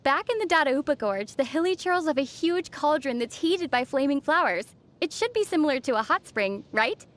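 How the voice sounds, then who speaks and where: High-voiced young traveler girl. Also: expressive, normal speed, few pauses, slight background noise. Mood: calm, thoughtful. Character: young female traveler, gentle, wry, teasing adventurer. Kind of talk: game voice acting. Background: faint background music.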